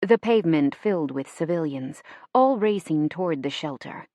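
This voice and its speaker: A woman reading fiction as an audiobook narrator in an expressive, measured, dramatic storytelling style. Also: sits low, no room sound.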